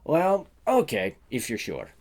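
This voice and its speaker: A man's voice: mid-range voice, huge pitch swings, unhurried, darkish tone, heavily acted. A man voicing a laid-back, drowsy, wry friend in a short story, talking in an offhand, hesitant way.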